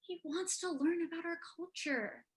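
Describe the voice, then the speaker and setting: A woman's voice: very high voice, normal speed. A woman playing an exasperated, stubborn adult Indian American daughter in an emotional, argumentative staged reading. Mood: defiant, pleading. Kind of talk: staged reading over video call.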